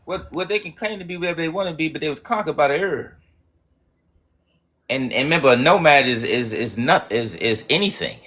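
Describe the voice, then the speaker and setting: A man's voice: fairly high pitch, animated, fairly quick, a bit bright. Guy running a live stream, rambles and preaches, cusses a lot, trips over his words. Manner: profane, preachy and rambling, with many restarts. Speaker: livestream host. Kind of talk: rambling livestream monologue.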